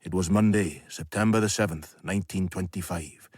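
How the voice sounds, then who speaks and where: Radio drama narrator: low husky register, wry and worldly, rhetorical, sardonic storytelling. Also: male voice, moderate pace, a little mellow, no echo, studio quality.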